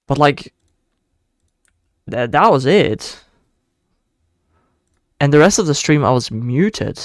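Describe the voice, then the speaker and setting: A man's voice: fairly high pitch, very animated, slow pace, no echo, studio quality. A man from the Netherlands with a Dutch accent, chatting casually on a live stream and rambling in a self-deprecating way. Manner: rambling, self-deprecating, thinks aloud with restarts.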